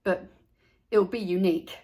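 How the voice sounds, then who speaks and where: A woman's voice: medium pitch, steady tone, measured pace, dark tone, lightly acted. A woman voicing a skeptical, squeamish young schoolboy, childlike and emphatic, as a storybook character. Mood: won over.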